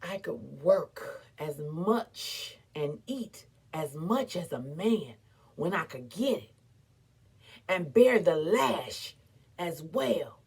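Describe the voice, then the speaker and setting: A woman giving a dramatic, theatrical recitation as an impassioned, defiant, weary but proud orator, with emphatic repetition and long pauses. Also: on the high side, gravelly, a bit bright, breathy edge. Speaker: performer reciting a historical speech.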